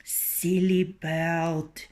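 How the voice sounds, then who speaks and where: A woman voicing a proud, bossy male rooster in a storybook reading, loud and scornful. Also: lowish voice, level tone, very slow, background noise. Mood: pompous.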